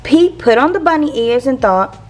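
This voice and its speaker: A woman reading a children's picture book aloud in an expressive, storytelling way for young listeners. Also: high voice, normal speed, faint music behind. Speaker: teacher reading picture books for a channel.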